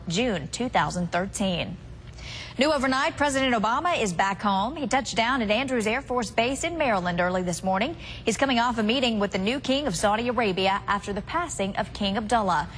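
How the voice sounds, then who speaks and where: A woman's voice: mid-range voice, a bit bright, slight background noise. A woman anchoring a morning newscast, reading scripted news.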